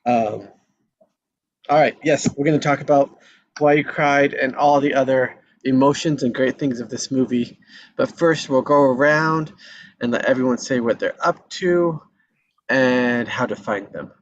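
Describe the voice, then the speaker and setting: A man's voice: medium pitch, unhurried. A man hosting a casual online group chat in an effusive, encouraging way. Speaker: host of an online table read show. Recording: muffled video call audio, clear noise, clicks.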